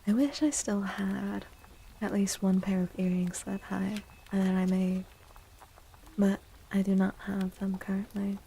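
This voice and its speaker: A woman speaking softly and breathily, often near a whisper, in a gentle ASMR livestream style. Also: mid-range voice, fairly even intonation, a little slow, gravelly, a little hiss or hum, rough recording. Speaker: streamer and tarot reader. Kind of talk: soft-spoken ASMR livestream chat.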